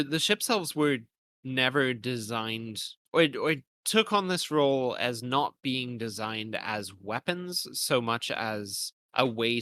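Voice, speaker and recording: A man's voice: mid-range voice, pushed and bright, dry recording. A man hosting a podcast in an analytical, conversational style, thoughtfully and somewhat hesitantly feeling out his ideas as he speaks. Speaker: podcast host. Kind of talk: conversational analytical discussion of a visual novel.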